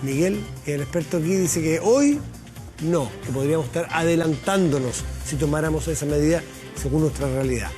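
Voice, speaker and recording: A man hosting a studio TV interview, asking direct, lively questions. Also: a little high, normal speed, soft background music. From Chile. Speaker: TV host.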